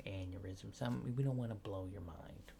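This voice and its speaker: Male ASMR performer, gravelly soft voice near whisper, halting and politely reassuring as a mild intake official, with typing and clear background noise. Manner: halting, low-key, polite intake-interviewer delivery. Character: mild-mannered alien official disguised as human, awkwardly reassuring. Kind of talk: ASMR roleplay, soft improvised questioning.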